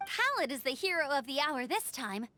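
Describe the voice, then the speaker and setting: A woman's voice: sits high, sing-song, moderate pace, pushed and bright, dry recording, soft background music, heavily acted. A woman voicing a friendly, helpful young female scout in a game. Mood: upbeat. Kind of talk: scripted game dialogue.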